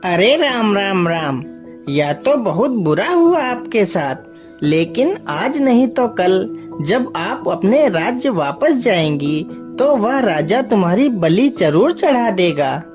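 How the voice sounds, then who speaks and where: A woman's voice: mid-range voice, big pitch swings, slow pace, over music. A woman voicing a sly, coaxing village man, the villain of a cartoon tale. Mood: feigned sympathy.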